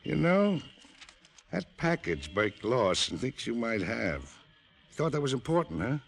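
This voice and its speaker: An actor playing a needling, sarcastic American police lieutenant in an old-time radio detective drama. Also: male voice, mid-range voice, big pitch swings, measured pace, creaky. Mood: pondering. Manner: teasing, sarcastic banter.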